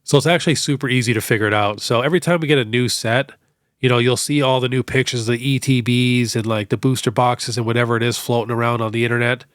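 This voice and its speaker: A young man with a stuffed-up nose from a cold, hosting a casual question-and-answer show in a relaxed, chatty way full of fillers. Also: mid-range voice, quick pace, no room sound, studio quality. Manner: relaxed, chatty, many fillers like "you know". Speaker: host. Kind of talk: casual Q&A on card collecting.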